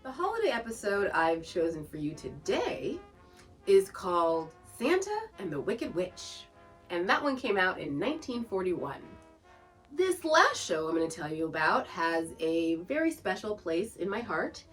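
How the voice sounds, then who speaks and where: A woman's voice: fairly low pitch, big pitch swings, a little slow, distant mic, faint music behind. A woman hosting a podcast, casually explaining and introducing shows in an informative way. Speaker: podcast host.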